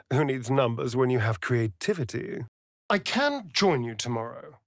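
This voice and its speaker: An actor playing a centuries-old, flamboyant and sardonic vampire rogue in a video game, with an arch, theatrical drawl. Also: male voice, on the high side, a bit bright, dry recording, studio quality, heavily acted. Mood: haughty, playful. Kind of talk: video game character acting.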